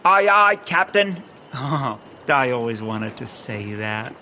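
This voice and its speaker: Goofy, animated, naive sidekick. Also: male voice, mid-range voice, takes it slowly, a little hiss or hum, full character voice. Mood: giddy. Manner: hesitant, goofy, earnest. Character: naive, eager, bumbling male sidekick, easily delighted. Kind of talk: comedic audio drama acting.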